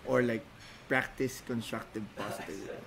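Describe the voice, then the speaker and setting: A man from the Philippines, speaking as a non-native speaker, talks casually as a podcast guest, halting with many restarts and fillers. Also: medium pitch, fairly even intonation, creaky, a crowd in the background. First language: Filipino languages. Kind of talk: casual chat.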